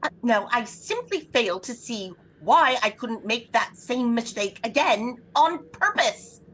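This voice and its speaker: An actress playing an anxious, imperious invalid wife in a throwback radio thriller, agitated, demanding and melodramatic. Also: female voice, on the high side, sing-song, a little slow, a bit bright, full character voice, poor audio. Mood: indignant, demanding. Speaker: lead actress in an audio drama.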